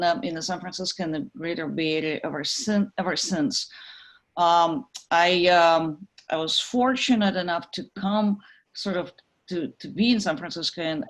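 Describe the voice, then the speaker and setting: A middle-aged woman from Ukraine, a guest in an interview, talking with many pauses, fillers and restarts. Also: deep voice. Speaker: guest, tech executive. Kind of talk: conversational interview.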